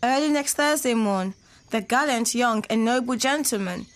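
A woman playing a composed, higher-voiced noble mother. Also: normal speed, close to an everyday voice. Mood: brisk, pleased. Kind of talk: student Shakespeare audio drama. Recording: faint background noise and ambience.

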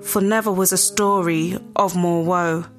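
An actor voices a grave noble in mourning for a Shakespearean audio play. The British voice is very slow, solemn and formal, with faint plucked-string music behind. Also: medium pitch, fairly even intonation, lightly acted. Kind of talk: Shakespearean audio drama acting. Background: soft plucked-string music.

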